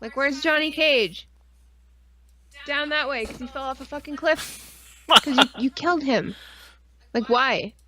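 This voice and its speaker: A woman's voice: sits high, measured pace, long pauses, sharp tone. A woman co-hosting a casual podcast stream, ranting about films with sarcastic mock outrage and plenty of swearing. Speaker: podcast co-host. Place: live online stream.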